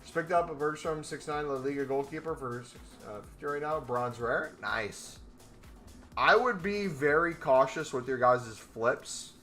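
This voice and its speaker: A man's voice: fairly high pitch, a little slow, fairly bright tone, faint music behind. A young man in casual live-stream commentary, laid-back and talking to his chat from a small room. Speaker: trading streamer. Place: small room indoors, gaming chair.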